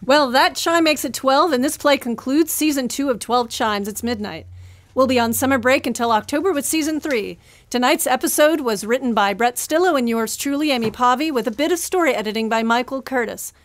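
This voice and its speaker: A woman's voice: sits high, fairly quick, no echo. A woman host reading scripted closing announcements at a live show in a bookstore. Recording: noisy live recording in a bookstore.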